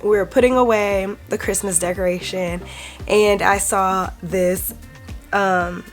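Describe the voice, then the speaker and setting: A woman's voice: mid-range voice, steady tone, unhurried. Woman, twenties, congested, chatty rambling vlog host, faint music underneath. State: congested, recovering from illness. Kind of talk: casual handbag vlog.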